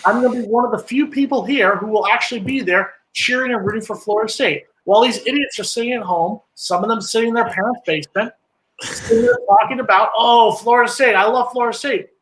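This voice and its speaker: A man co-hosting a casual sports chat, ranting sarcastically in a high voice. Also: quick pace, fairly bright tone, a little hiss or hum, low-quality recording. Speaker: co-host.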